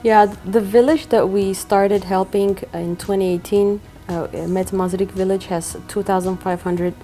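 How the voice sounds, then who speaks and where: A woman's voice: mid-range voice, steady tone, moderate pace, warm tone, soft background music. A woman charity organizer explaining her work in a matter-of-fact way, with frequent filler uhs. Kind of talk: conversational interview.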